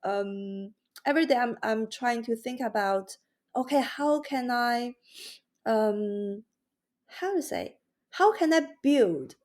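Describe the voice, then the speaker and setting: A woman's voice: a little high, lively intonation, darkish tone. Hesitant Mandarin-accented woman guest. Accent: Mandarin Chinese. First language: Mandarin Chinese. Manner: halting, many restarts and fillers. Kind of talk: podcast interview.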